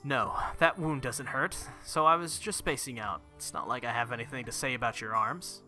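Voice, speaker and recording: A man's voice: medium pitch, moderate pace, pushed and bright, faint music behind. A man voicing an earnest, stubborn, lovestruck teenage boy hero in a visual novel, speaking insistently. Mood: awkward. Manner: insistent, heartfelt.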